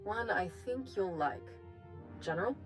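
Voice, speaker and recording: An actress voicing a brisk, pragmatic female leader of a galactic republic in a video game, speaking with informal, persuasive authority. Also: medium pitch, lively intonation, over music, close to an everyday voice. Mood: wry, persuasive. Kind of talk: video game cutscene acting.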